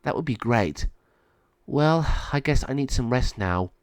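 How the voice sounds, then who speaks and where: A man voicing a gentle, good-hearted young stray dog with an earnest, plaintive manner in a storybook reading. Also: medium pitch, expressive, a little slow, dry recording, lightly acted. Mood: weary.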